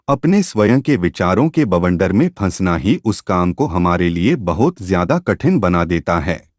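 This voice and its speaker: A man voicing a wise, patient grandfather in his seventies who gives unhurried, instructive advice in a storybook tale. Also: on the low side, a little mellow, no room sound, studio quality. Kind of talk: storybook narration.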